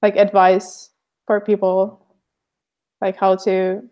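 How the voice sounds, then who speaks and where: A woman's voice: mid-range voice, monotone, slow pace, muffled recording. A woman from Finland with a Finnish accent, chatting casually and hesitantly on a livestream. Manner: hesitant, many fillers. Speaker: artist, co-host.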